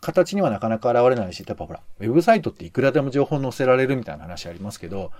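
A man's voice: medium pitch, very animated, very fast, darkish tone, dry recording, studio quality. A man co-hosting a podcast, talking casually in a musing, explanatory way that often hedges. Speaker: podcast co-host.

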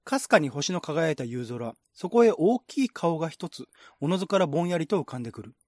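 Male narrator for a literary radio drama: fairly high voice, measured descriptive delivery, dry recording. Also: very animated, mellow, dry recording. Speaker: narrator, reader.

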